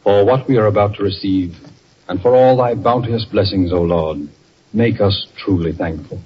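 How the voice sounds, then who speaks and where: A man playing a mild, gently reproving father in a 1940s radio play. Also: fairly low pitch, normal speed, a little hiss or hum, natural voice. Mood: solemn. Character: patient father at the supper table. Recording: dull old radio recording.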